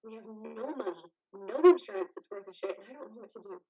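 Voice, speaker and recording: Woman playing husky, bitter, protective soldier's partner. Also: medium pitch, fast, warm tone. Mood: desperate. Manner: blunt, biting, profane. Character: bitter, exhausted, fiercely protective woman of troubled veteran. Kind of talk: play reading, modern drama.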